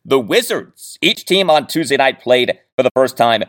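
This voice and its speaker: A man's voice: medium pitch, expressive, normal speed, a bit bright. A man hosting a solo sports podcast, talking through his rundown in an emphatic, showman-like way with dramatic stresses. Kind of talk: scripted solo sports podcast monologue with asides.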